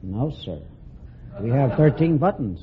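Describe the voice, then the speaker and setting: A man's voice: mid-range voice, big pitch swings, unhurried. An American man, a plain-spoken serviceman, talking as a contestant on a quiz show. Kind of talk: anecdote telling on a quiz show. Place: stage before a live studio audience. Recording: dull vintage radio recording, faint noise.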